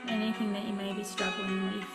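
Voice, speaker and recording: A woman leading a guided relaxation session as a wellness coach, speaking in deliberate, instructive phrases with frequent pauses. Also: medium pitch, level tone. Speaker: meditation and wellness session leader. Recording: roomy echo with music behind.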